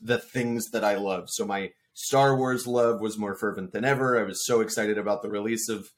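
A man's voice: on the low side, fairly even intonation, moderate pace, a little hiss or hum. A man in casual podcast chat, talking in a meandering, reflective way.

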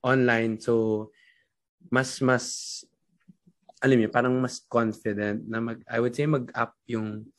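A man's voice: mid-range voice, steady tone, measured pace. Hesitant young Filipino man hosting. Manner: hesitant, many fillers while framing questions. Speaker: podcast host. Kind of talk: casual interview chat.

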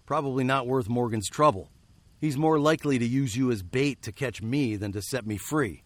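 A man playing a weary, wry nineteenth-century fugitive, telling his tale in a deliberate, literary way for a radio drama. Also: mid-range voice, no echo, lightly acted. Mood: wry, resigned. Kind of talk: radio drama narration and acting.

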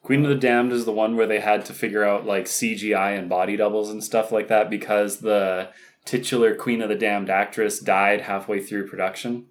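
A man's voice: on the low side, flat delivery, moderate pace. A young man co-hosting a casual film podcast, rambling and correcting himself as he talks. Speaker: podcast co-host. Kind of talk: casual chat about films.

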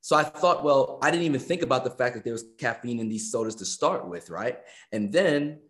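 A man's voice: medium pitch, expressive, a little fast, a bit bright. An American man of about fifty chatting casually as an interview guest, talkative and repeating phrases for emphasis. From United States. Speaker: guest, personal trainer and musician.

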